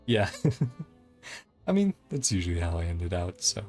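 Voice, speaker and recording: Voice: low voice, very animated, normal speed, a little mellow. A game livestream host chatting casually in a giggly, hesitant, rambling way. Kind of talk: casual game stream chat. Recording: game music behind the voice. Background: video game music.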